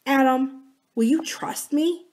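A woman voicing a sweetly pleading, manipulative young woman in an audiobook, with soft, drawn-out phrases. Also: high-pitched, pitched above the performer's own voice. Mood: coaxing, confiding. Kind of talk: audiobook character dialogue.

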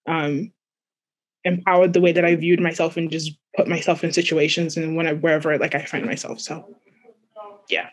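A woman's voice: on the low side, darkish tone. Young woman telling a hesitant story. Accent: light Nigerian. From Nigeria. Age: young adult. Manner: hesitant, searching for words with many restarts. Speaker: panelist. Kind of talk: personal story on a panel. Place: home, on a video call. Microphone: video call.

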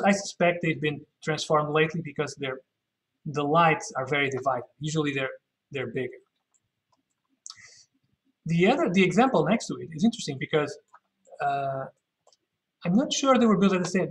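A man's voice: on the high side, poor audio. Man giving a hesitant, informal guided tour. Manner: hesitant, self-correcting, thinking aloud. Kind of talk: informal guided virtual walking tour commentary.

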